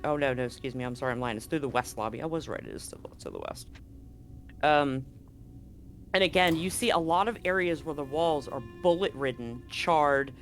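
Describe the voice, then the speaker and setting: A man's voice: a little high, a bit bright. A man running a tabletop horror game as game master, narrating loosely and correcting himself as he goes. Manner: loose, conversational, self-correcting.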